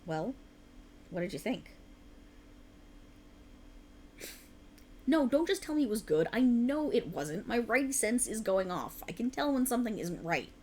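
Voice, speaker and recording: A woman's voice: fairly high pitch, big pitch swings, moderate pace, darkish tone, a little hiss or hum. A woman acting a sleep-deprived, stubborn writer girlfriend in a conversational ASMR roleplay, with a teasing, dramatic, bantering manner. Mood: self-critical.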